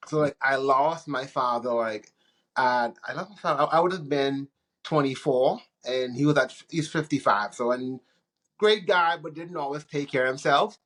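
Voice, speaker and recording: A man from the Bahamas, probably in his thirties, chatting as an interview guest in a relaxed, rambling way with frequent restarts. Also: medium pitch, moderate pace. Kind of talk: casual interview chat.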